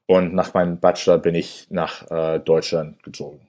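A young man from New York with an American accent, his voice sitting fairly low. He talks in German, his second language, halting and restarting often and filling gaps with "äh". It comes across as relaxed interview chat. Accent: American English. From New York, USA. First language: English. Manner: hesitant, many restarts and fillers. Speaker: interview guest.

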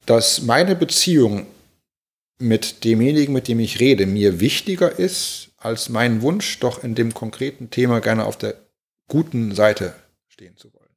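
A man in his forties from Germany, a pastor, thoughtfully telling personal stories, halting with many restarts and repeated words. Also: medium pitch, expressive. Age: 40s. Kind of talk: reflective personal storytelling.

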